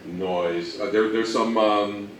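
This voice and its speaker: Low-voiced man presenting in an echoey room. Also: steady tone, takes it slowly. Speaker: presenter at an old-time radio convention talk. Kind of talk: informal live presentation to an audience. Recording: roomy, distant-miked live talk.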